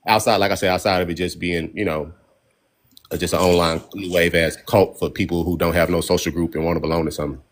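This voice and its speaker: A man's voice: deep voice, steady tone, fast talker. A man hosting a casual debate on a phone livestream, talking bluntly in profane street slang.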